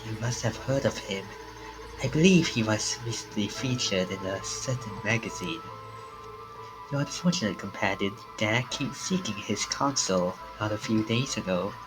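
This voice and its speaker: A man voicing a polite, menacing sorcerer's associate in a visual novel, speaking formally and with measured courtesy. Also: on the low side, close to an everyday voice, poor audio. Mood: coolly courteous. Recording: game music behind the voice.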